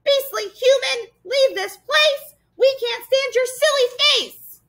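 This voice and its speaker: A woman's voice: very high voice, slow pace, a bit bright, voice pushed up, exaggerated voice. A woman voicing gleefully nasty, furry green swamp monsters that taunt and chant in a storybook reading. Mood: jeering, hostile. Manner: shrieking, taunting, sing-song chanting.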